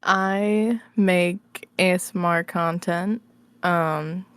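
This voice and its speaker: A woman's voice: lowish voice, steady tone, very slow, a bit bright, clear, resonant tone, no room sound. A woman chatting informally as an interview guest, giggly and a bit hesitant in her phrasing. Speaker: interview guest, ASMR roleplay creator. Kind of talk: casual interview chat.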